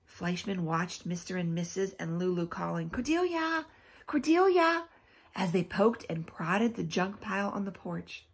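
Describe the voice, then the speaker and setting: Husky, creaky-voiced woman reading to children. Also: on the low side. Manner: animated, pausing often for emphasis and to prompt listeners. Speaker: teacher reading a children's book aloud. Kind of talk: expressive read-aloud with questions to young listeners.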